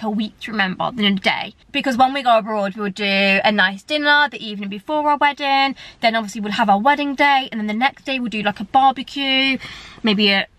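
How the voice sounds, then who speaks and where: A woman's voice: on the high side, fairly bright tone. A young woman chatting to the camera from inside a parked car, rambling and talkative with lots of fillers. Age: young adult. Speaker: vlogger. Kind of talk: casual chat to camera. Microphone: camera close up, held at arm's length.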